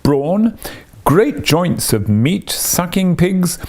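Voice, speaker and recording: A man reading a classic story aloud as scripted narration, in a dramatic, deliberate storytelling manner. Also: high-pitched, sing-song, darkish tone. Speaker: audiobook narrator.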